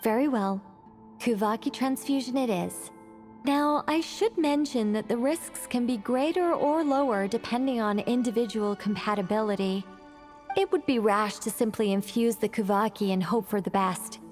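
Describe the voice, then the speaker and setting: An actress playing a calm, methodical woman scholar in a video game, speaking in a composed, precise, explanatory manner. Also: fairly high pitch, normal speed, faint music behind, close to an everyday voice. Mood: explaining. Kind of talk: video game cutscene voice acting.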